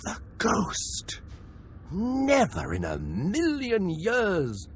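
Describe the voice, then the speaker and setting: A man's voice: high voice, wildly swooping pitch, very slow, slight background noise, exaggerated voice. A man playing an eager, nervous young imperial officer and scholar in a video game, earnest and a little awkward. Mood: astonished.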